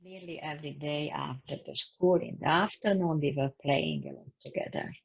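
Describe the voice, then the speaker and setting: Low gravelly old lady from serbia, husky, dull lo-fi zoom audio, halting talk. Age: 80s. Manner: slow, halting, non-native phrasing. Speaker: Holocaust survivor interviewee. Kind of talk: personal testimony. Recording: dull lo-fi video call audio.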